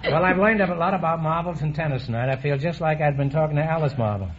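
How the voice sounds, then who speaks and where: A man's voice: on the high side, slight background noise. Wisecracking middle-aged man hosting a quiz. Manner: teasing, quick puns and asides. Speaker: quiz show host. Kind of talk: wisecracking quiz show banter. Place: studio before a live audience. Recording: dull old radio broadcast, audience behind.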